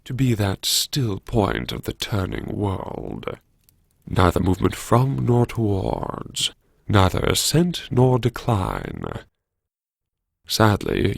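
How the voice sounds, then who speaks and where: A man's voice: lowish voice, creaky, no room sound, clean studio sound, full character voice. A man playing a wry, brooding, disgraced businessman who mutters to himself in a theatrical audiobook monologue. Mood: wry, musing. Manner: sardonic, theatrical, muttering to himself with sighs and pauses. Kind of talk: first-person audiobook monologue, dramatic reading.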